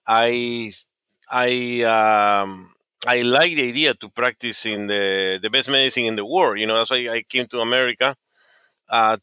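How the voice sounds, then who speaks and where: A man's voice: mid-range voice, pushed and bright, smooth, dry recording. A middle-aged man from Peru with a Peruvian Spanish accent, speaking English as a second language, answering interview questions earnestly with frequent fillers and restarts. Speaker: oncologist guest. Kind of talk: podcast interview.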